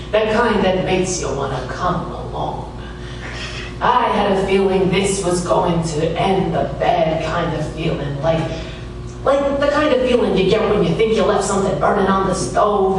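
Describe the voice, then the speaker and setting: A man playing a wry, world-weary private detective, narrating and talking in a deadpan, sardonic way in a hardboiled radio drama. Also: high voice, noisy background. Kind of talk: hardboiled noir radio drama, first-person narration and dialogue. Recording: echoey distant stage recording with music behind.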